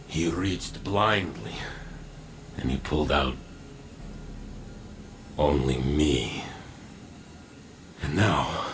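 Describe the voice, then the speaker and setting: A grieving, displaced warrior in a game, his voice extremely deep. He talks slowly and solemnly, halting often. A game score plays clearly under him. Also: heavily acted. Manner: slow, solemn, halting and sorrowful. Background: game music score.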